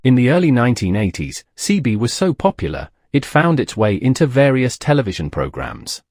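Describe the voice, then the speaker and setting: A man's voice: medium pitch, huge pitch swings, a little fast, a little mellow, no echo, studio quality. A man narrating a documentary from a script.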